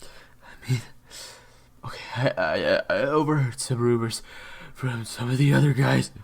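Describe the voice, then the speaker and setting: Audio drama casting: man playing cornered hired attacker in pain, creaky breathy voice, slow reluctant delivery. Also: medium pitch, animated, heavily acted. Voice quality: breathy, gravelly, husky. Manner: halting, reluctant. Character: pained, cornered hired attacker under interrogation. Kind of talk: audio roleplay acting.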